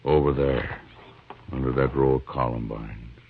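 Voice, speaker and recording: A husky, resigned homesteader. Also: male voice, very deep voice, unhurried, full character voice. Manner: quiet, flat, resigned. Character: gaunt grieving homesteader, man, weary and resigned. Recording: tinny dry old radio recording.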